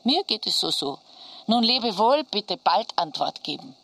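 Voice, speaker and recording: A fussy worried auntie reading her postcard, sharp bright voice, close dry sound. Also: medium pitch, sing-song, unhurried, creaky, no room sound, exaggerated voice. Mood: worried, caring. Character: fretful, homely aunt writing a wartime postcard. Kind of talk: German radio drama letter reading.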